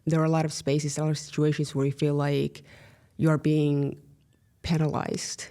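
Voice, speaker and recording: A woman's voice: sits low, flat delivery, normal speed, warm tone. A woman in her thirties, born in Somalia with Somali as her first language, talking casually as a podcast guest, wry and full of "like, you know.". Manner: frequent "like, you know" fillers, wry humor. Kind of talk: casual conversation.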